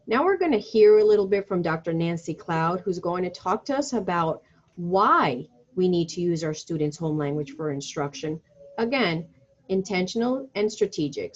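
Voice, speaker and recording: A woman presents a teacher-training webinar and reads through her slides in a steady, instructive manner. Her voice sits somewhat low. The audio is a little muffled and lo-fi.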